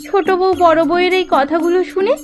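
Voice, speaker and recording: High-voiced woman narrating a story. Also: fairly even intonation, brisk. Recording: music behind the voice.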